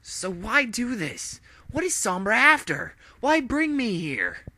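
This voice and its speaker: A desperate female pony secret agent from an audio story, performed by a man. The voice is pushed very high and loud, strained and pleading, with defiant heroic urgency. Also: big pitch swings, slow, no room sound, exaggerated voice.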